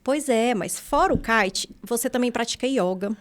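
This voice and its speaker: A woman with a fairly high voice hosts a relaxed podcast chat. She teases her guest playfully and breaks into laughter often. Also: normal speed. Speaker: podcast host. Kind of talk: casual interview chat.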